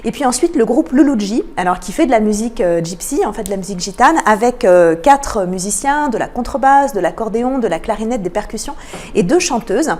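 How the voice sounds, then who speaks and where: A woman giving explanatory answers as a radio interview guest, often pausing between phrases. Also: a little high, expressive, a little mellow. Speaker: interview guest, cultural programme manager.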